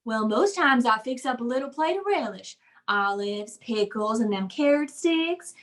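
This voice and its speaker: A woman's voice: on the high side, animated, moderate pace, close to an everyday voice. A woman voicing a folksy, chatty little male Christmas brownie with a Southern US accent, in old radio comedy style. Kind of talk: 1950s radio comedy acting.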